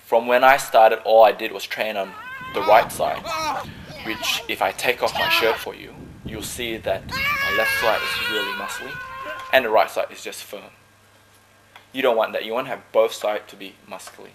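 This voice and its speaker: Guy from malaysia, jokey and laid back, kinda low voice, bit of hiss behind. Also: normal speed. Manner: joking, chatty, relaxed. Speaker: dragon boat paddler. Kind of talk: casual interview answers.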